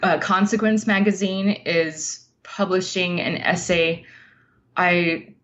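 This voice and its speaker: Female interview guest, lower register, measured conversational explaining, slightly dull lo-fi recording. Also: fairly even intonation, a bit bright.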